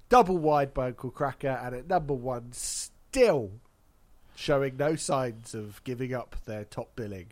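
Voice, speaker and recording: A man hosting a casual podcast, congested from hay fever, wry and chatty. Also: mid-range voice. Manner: wry, chatty, laughs at own jokes. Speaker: podcast host.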